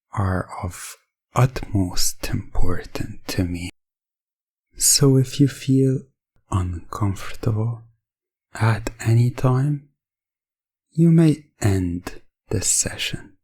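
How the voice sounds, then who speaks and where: A man playing a calm, businesslike professional dominant in an ASMR hypnosis roleplay, speaking in a soft, breathy voice with measured, formal, detached delivery. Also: on the low side, no echo, exaggerated voice. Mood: formal, composed. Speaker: audio roleplay performer.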